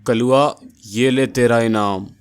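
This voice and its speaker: A man's voice: mid-range voice, fairly even intonation, takes it slowly, clear, resonant tone, close to an everyday voice. A man voicing a greedy, scheming rich village moneylender in a moral-story cartoon, bossy and wheedling. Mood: scheming, commanding.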